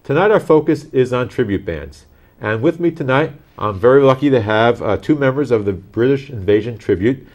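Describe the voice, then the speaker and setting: An older man hosting a studio interview, asking questions in halting, circling phrases. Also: mid-range voice, animated, darkish tone, dull audio. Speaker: TV talk show host. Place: small TV studio, indoors.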